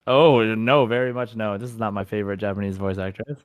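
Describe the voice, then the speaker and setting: A man's voice: on the low side, steady tone, fairly quick, clear, resonant tone, dry recording. Man casually hosting a podcast quiz. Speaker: podcast host running a quiz. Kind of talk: casual playful quiz chat.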